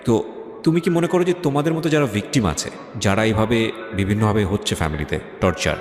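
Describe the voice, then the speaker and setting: A man's voice: on the low side, animated, fast. A man with a Bangladeshi accent hosting a conversational radio interview, mixing English phrases into his talk. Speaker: radio show host. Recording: echoey with long reverb, music behind.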